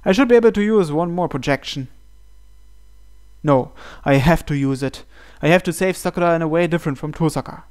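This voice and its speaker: A man's voice: a little high, fairly quick, clean tone. A man hosting a gaming video, reading visual novel narration aloud. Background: soft game music.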